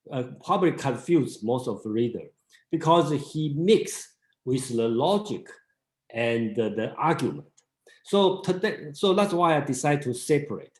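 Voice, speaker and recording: Halting man leading a reading group. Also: medium pitch, darkish tone, reverberant. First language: Mandarin Chinese. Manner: halting, many restarts and fillers. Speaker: discussion group leader. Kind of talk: casual seminar talk.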